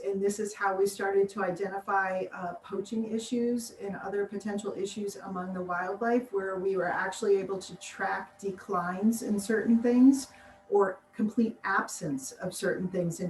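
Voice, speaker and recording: An American woman biologist answering interview questions on a video call, chatty, laughing often and acting out what people said. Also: mid-range voice. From United States. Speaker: biologist guest. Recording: distant roomy mic with faint noise.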